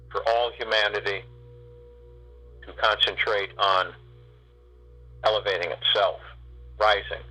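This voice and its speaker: A low-voiced man with a husky, sharp-edged tone leads a guided spiritual meditation. He lets each phrase stretch out slowly, pausing often between the words. Soft music plays faintly underneath. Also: rough recording. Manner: slow, drawn-out phrasing with long pauses.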